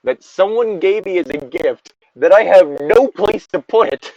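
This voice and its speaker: A man's voice: high-pitched, animated, moderate pace, darkish tone, dry recording, dull audio. A man in a casual chat, rambling along and swearing freely.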